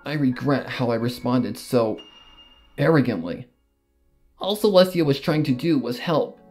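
A man's voice: medium pitch, lively intonation, a little slow, darkish tone. A man reading a dramatic first-person story in a solemn, theatrical manner. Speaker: fan fiction narrator. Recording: music behind the voice.

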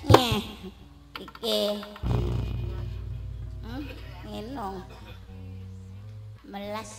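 Male comedian sidekick, high voice, grumbling asides, live stage sound, music behind. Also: steady tone, extremely slow, gravelly. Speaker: comic sidekick. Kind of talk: live comic stage banter. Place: outdoor village party stage. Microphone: handheld microphone.

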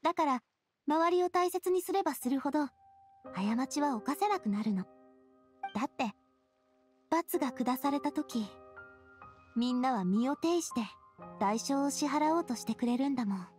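A spirited young lady from an anime game, with a high voice. She talks casually and expressively, breezy yet earnest and kindhearted. Music runs behind her. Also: moderate pace, long pauses. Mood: regretful. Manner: casual, feminine, expressive storytelling. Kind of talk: Japanese anime game voice acting.

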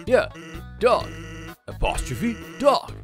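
A man voicing a laid-back skeleton hot dog vendor who delivers puns in a lazy deadpan. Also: sits high, takes it slowly, faint music behind, voice pushed up, exaggerated voice.

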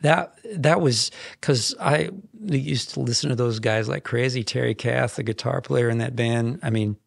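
A man in his fifties, a songwriter guest in a podcast studio, telling stories in a rambling, animated way with frequent restarts. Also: mid-range voice, moderate pace, creaky, no echo. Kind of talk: casual interview storytelling. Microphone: headphones and boom mic.

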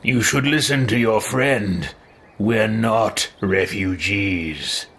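Male gamer in his thirties, role-playing lines: measured, very unhurried, slightly low. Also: fairly bright tone, slightly rough. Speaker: video game streamer playing in character. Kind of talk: role-played reading of dialogue choices.

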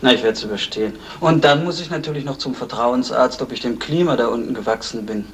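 A man's voice: mid-range voice, quick pace, close to an everyday voice. An actor playing a polite, confident company man in a 1970s radio crime drama, speaking formally. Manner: formal, courteous. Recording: vintage radio recording, faint hiss.

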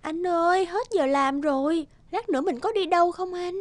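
Pouty, high-voiced cartoon secretary. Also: female voice, moderate pace, dry recording, soft background music. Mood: pouty, coaxing. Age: young adult. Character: pouty, clingy young secretary mistress. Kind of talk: cartoon dialogue.

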